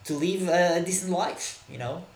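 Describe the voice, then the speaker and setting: A man from Israel, a native Hebrew speaker, answering interview questions in a halting way with many fillers and repeated words. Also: high-pitched, gravelly, echoey room. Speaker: podcast guest, author. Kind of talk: casual interview talk. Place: Berlin.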